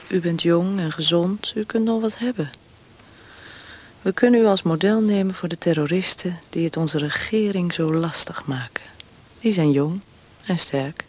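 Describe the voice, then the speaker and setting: Low-voiced, mocking female interrogator. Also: darkish tone, breathy edge. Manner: taunting, controlled, coolly formal. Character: cold, mocking female secret police interrogator, perfectionist and cruel. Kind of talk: radio drama acting. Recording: noisy radio play recording with clicks.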